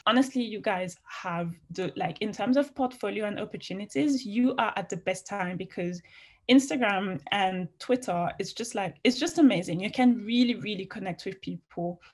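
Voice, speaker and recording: A woman's voice: medium pitch, normal speed. A woman with a slight French accent mixed with British, answering interview questions in a chatty, frank way with many fillers. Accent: French, with some British. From France. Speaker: interview guest, fashion writer.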